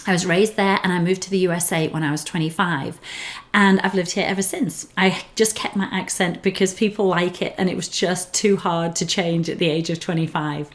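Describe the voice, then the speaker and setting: A woman's voice: lowish voice, steady tone, moderate pace. A woman with an English accent who grew up in northern England, speaking as a relocation specialist in an earnest, encouraging talk to camera. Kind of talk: scripted personal talk to camera.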